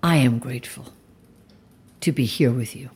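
An actor playing a calm, zealous leader of an anti-technology movement in an audio drama, speaking in a measured, solemn, deliberate way. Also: male voice, medium pitch, slightly rough. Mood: solemn, commanding. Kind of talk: immersive audio drama acting.

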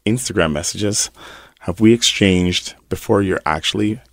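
A man hosting an interview, teasing and joking with his guest in a relaxed chat. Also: low-pitched, gravelly, no echo. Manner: playful, teasing, quick to laugh. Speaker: podcast host.

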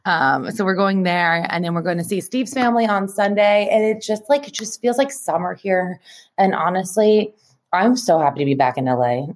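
A woman co-hosting a podcast, chatting casually and telling stories in an animated, informal way with plenty of filler "like" and some swearing. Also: fairly low pitch, moderate pace. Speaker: podcast co-host. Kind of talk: casual chat and storytelling.

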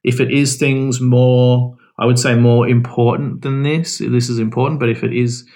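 A man hosting a podcast, giving frank advice in relaxed casual chat with fillers. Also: mid-range voice, moderate pace. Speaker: podcast host.

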